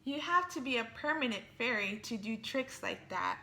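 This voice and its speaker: A woman reading a picture book aloud as an imaginative little girl who thinks she's a fairy, with lively, playful emphasis. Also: fairly high pitch, moderate pace, slight background noise.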